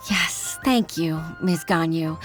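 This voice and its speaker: A woman's voice: low voice, very slow, fairly bright tone, heavily acted. An actress playing an earnest young female government clerk with a polite, deferential manner, in an anime game dub. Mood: admiring. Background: music.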